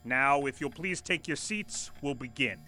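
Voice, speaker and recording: An actor playing a brash, defiant former executive in an audio drama, with a smug, theatrically formal manner. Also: male voice, medium pitch, expressive, a little slow, bright, forceful tone, soft background music. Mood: smug, controlled.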